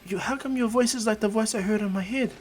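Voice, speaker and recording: A man's voice: sits high, fast talker, faint music behind, pitched above the performer's own voice, lightly acted. A man voicing a frightened young girl who speaks in a timid, wondering way. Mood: bewildered.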